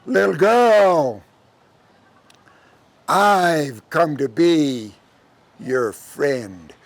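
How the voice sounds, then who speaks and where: A man voicing a sly male devil who poses as a friend in a told folktale, with a drawn-out, coaxing delivery. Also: a little high, animated, no echo, pitched below the performer's own voice, heavily acted. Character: smooth-talking male devil posing as a friend. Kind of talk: live oral storytelling.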